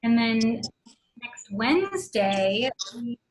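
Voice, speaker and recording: A woman's voice: fairly high pitch, very animated, takes it slowly, poor audio. A woman chatting casually and easily as a panel guest on a video call.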